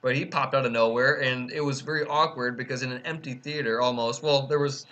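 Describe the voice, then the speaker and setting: A man with a bright, forward voice talks through a film in loose podcast chat, stopping and restarting his sentences. He leans on fillers and drops a casual swear word now and then. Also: mid-range voice, steady tone, fairly quick. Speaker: podcast co-host. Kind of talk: casual movie review chat.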